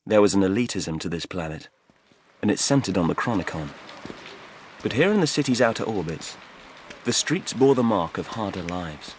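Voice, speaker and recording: A male narrator reads dramatic fiction for an audiobook. His voice is on the low side and moves animatedly up and down. A faint bed of noise runs behind the reading. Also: expressive, moderate pace.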